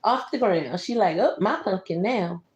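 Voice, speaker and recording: A woman's voice: mid-range voice, lively intonation, moderate pace, reverberant, dull audio, slight background noise. A woman reading a picture book aloud to a child, breaking off for casual, conversational asides and explanations. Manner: conversational, explains pictures and comments to a young listener. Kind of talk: bedtime story read-aloud with casual asides.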